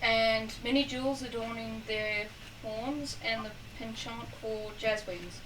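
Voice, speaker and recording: A woman's voice: fairly high pitch, a little slow, fairly bright tone. A woman playing in a tabletop game, trading dry, wry banter with the table. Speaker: player. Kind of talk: casual tabletop game chat. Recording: distant mic with faint hiss.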